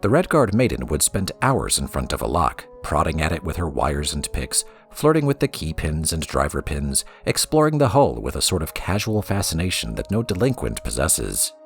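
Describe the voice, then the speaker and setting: A man reading a story aloud as a storybook narrator, with a theatrical, expressive delivery. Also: very deep voice, moderate pace, hardly any pauses, faint music behind. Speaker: lore reader for a gaming let's play.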